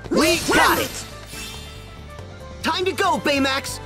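A man's voice: very high-pitched, slow pace, bright, forceful tone, faint music behind, heavily acted. An actor playing an earnest, plucky teenage boy hero in a video game, a man. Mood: eager, urgent. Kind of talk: video game cutscene acting.

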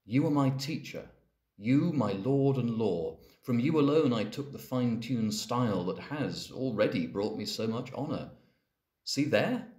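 A man's voice: medium pitch, animated, measured pace, darkish tone. A man reading poetry aloud as a dramatic recitation. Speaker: poetry reader. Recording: echoey, reverberant room.

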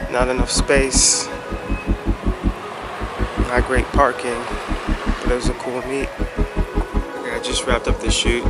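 A man talks casually into a handheld camera. His delivery is relaxed and repetitive, full of slang, with a middle-pitched voice. Heavy traffic roars behind him outdoors. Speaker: car vlogger. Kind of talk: casual vlog. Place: outdoors by a street, then in a car. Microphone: handheld camera at arm's length. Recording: loud traffic noise outdoors.

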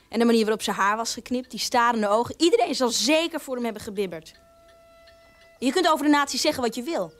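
A woman's voice: on the high side, lightly acted. An actress playing a proud, defiant nineteen-year-old woman in a radio drama monologue. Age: young adult.

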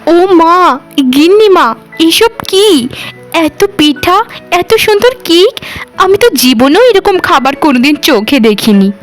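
High-pitched, pleading young housemaid, acted by a woman. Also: animated, a little slow, faint music behind. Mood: amazed, curious. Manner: pleading, deferential, emotional. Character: poor orphaned young housemaid, humble, tearful and devoted. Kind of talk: cartoon voice acting.

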